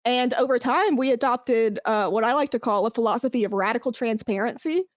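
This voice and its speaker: A woman chatting casually as an interview guest, telling work stories in a candid, slangy, animated way. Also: on the high side, fairly quick, no echo, studio quality. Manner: candid, animated storytelling with slangy asides and acted-out quotes. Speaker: esports brand manager, podcast guest.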